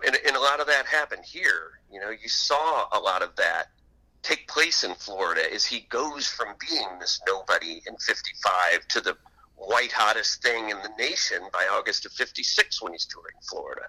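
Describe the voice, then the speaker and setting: A man's voice: fairly low pitch, bright, forceful tone, rough recording. A man speaking as an interview guest and author, telling anecdotes in an enthusiastic, conversational way.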